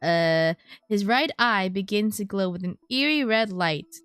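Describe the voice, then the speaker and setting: A woman with a mid-pitched voice reads a game's story aloud as a streamer would. She is expressive and slips in casual, wry asides. Faint game music plays behind her. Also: measured pace, clean tone, no room sound. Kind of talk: reading game text aloud with casual reactions. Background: game soundtrack.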